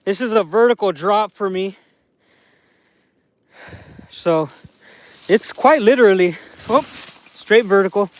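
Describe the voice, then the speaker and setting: A man's voice: sits high, takes it slowly, long pauses. A man from the San Fernando Valley with a Mexican American accent, chatting casually to a livestream from outdoors and thanking viewers by name. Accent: Mexican American, Los Angeles. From Los Angeles, San Fernando Valley. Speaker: livestream vlogger. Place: outdoors by a mountain slope.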